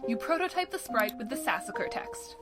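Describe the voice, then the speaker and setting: Wry narrator, higher-voiced woman. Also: animated, moderate pace, loud music behind the voice, lightly acted. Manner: wry, mock-formal. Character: wry, playful storybook-style female narrator. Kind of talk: scripted second-person narration.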